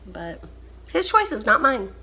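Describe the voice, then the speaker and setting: A young woman vlogging casually, hoarse and coughing, weary and frustrated. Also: on the high side, big pitch swings, sharp tone. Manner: tired, frustrated, drawn-out speech. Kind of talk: casual vlog chat.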